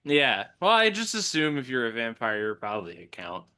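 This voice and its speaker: Playful man, bright lively voice, punster, home call mic. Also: mid-range voice, moderate pace, clear, resonant tone. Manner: playful, punning. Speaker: player. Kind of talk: casual chat.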